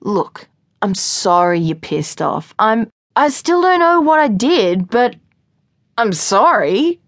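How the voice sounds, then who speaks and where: A woman's voice: a little high, sing-song, slow, dry recording, studio quality, voice pushed up. A woman voicing a careless young man who rambles casually and sounds baffled.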